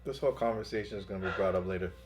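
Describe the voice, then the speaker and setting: A man's voice: deep voice, rapid-fire, faint music behind. A man hosting a reaction show, chatting casually as he gives his thoughts.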